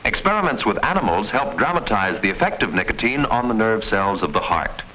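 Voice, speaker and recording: A man reading formal, measured, didactic scripted narration in the style of a mid-century educational film. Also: lowish voice, sing-song. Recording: muffled, noisy old film soundtrack.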